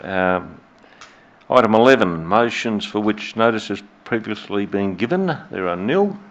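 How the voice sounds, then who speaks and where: Australian mayor running a council meeting, very low voice, lots of ums, dull muffled audio. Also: male voice, animated. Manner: measured, hesitant with many fillers. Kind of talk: formal meeting chairing. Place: council chamber.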